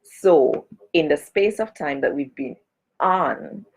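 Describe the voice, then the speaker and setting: A woman's voice: deep voice, lively intonation, slow pace, slightly rough, rough recording. A woman from Jamaica chatting casually on a live stream as a cultural advocate, greeting and speaking straight to her viewers. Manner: chatty, greets and addresses viewers directly, gives blessings.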